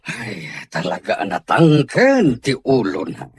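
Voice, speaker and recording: A man's voice: mid-range voice, huge pitch swings, slow pace, slightly rough, no echo, clean studio sound, heavily acted. A man with an Ilocano accent playing a worried, exasperated father in a comedy-drama podcast.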